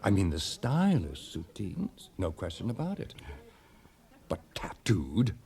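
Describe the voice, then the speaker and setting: A brusque, condescending art expert in radio drama. His voice is lowish and dark, and it leaps dramatically up and down as he gets excited or flustered. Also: measured pace, gravelly, heavily acted. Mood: astonished, excited. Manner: emphatic, condescending, stammering when flustered. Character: haughty, excitable male art dealer, brusque and sceptical.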